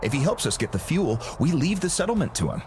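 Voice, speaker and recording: A man playing a smooth, self-satisfied arms-dealer boss with grand ambitions, speaking in a theatrical, businesslike way in a game cutscene. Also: a little high, sing-song, quick pace, background noise. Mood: smooth, persuasive. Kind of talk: video game cutscene acting.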